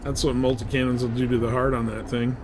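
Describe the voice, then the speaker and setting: A man's voice: medium pitch, little pitch movement, fast. A man giving casual live commentary as he plays a game, muttering reactions to the action. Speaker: game streamer. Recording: faint background noise.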